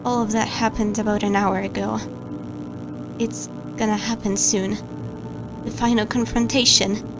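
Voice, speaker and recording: A woman's voice: mid-range voice, level tone, unhurried, pauses often, lightly acted. A woman playing an anxious, guilt-ridden watcher of camera feeds, who stammers and hesitates as she narrates a horror log over music.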